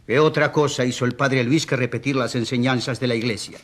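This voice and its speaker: An actor playing a wry, mock-polite defence advocate who argues emphatically in a courtroom radio drama. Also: male voice, mid-range voice, fairly even intonation, brisk, fairly bright tone, slight background noise, lightly acted. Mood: pointed, polite.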